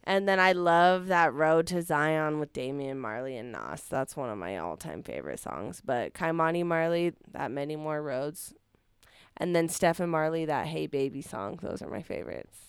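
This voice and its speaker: A woman's voice: low voice, animated, smooth, no echo. A woman chatting as a guest on a radio show, enthusiastic and talkative. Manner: chatty, enthusiastic, quick laughs. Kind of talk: casual radio conversation.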